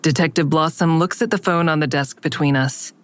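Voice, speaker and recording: A woman's voice: low-pitched, brisk, dry recording, close to an everyday voice. An actress playing an anxious, guarded woman who narrates her own interrogation in an audio drama, restrained and introspective. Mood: guarded, tense. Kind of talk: first-person audio drama narration and dialogue.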